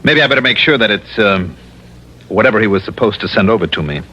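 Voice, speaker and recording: An actor playing a cool, wisecracking investigator hero in an old-time radio detective drama, with a casual, self-assured delivery. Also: male voice, fairly low pitch, lively intonation, fast, sharp tone. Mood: probing. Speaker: lead actor and narrator. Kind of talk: 1950s-60s radio detective acting. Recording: dull, hissy old radio broadcast.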